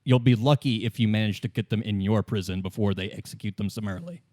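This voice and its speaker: A man running a tabletop roleplay as game master, laying things out in measured, deliberate explanatory phrases. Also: fairly low pitch, a little mellow, no room sound, clean studio sound.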